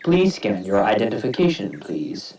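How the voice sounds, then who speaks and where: A man's voice: fairly low pitch, huge pitch swings, normal speed, heavy background noise. A man voicing a courteous automated security scanner in a fan audio drama, in a scripted manner. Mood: polite.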